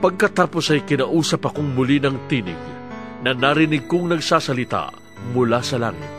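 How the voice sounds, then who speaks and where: A man's voice: medium pitch, expressive, moderate pace, over music, lightly acted. A man playing an earnest prophet recounting his vision in a declamatory scripture narration for an audio drama. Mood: awed, solemn.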